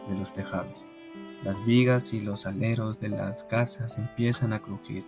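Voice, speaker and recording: A man reads literary narration slowly and solemnly for a student radio drama. His voice sits a little low and moves little in pitch. Guitar music is clearly heard behind him.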